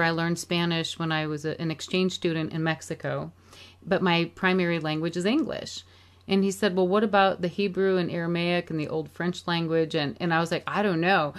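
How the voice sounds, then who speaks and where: Earnest middle-aged woman, lowish voice. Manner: earnest and heartfelt, with some restarts. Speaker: author and musician promoting her book. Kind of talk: casual radio interview chat.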